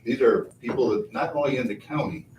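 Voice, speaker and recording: A man's voice: medium pitch, a little fast, a little mellow. A county commissioner, a man, pushing back insistently during a board meeting debate. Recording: echoey hall, far from the mic, faint noise.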